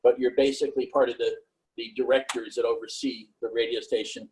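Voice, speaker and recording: A middle-aged man from New York talking about his career in a loose, halting way with lots of fillers. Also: mid-range voice, a little hiss or hum, rough recording. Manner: monotone, halting with many fillers. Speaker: panelist. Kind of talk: casual career talk on a video call.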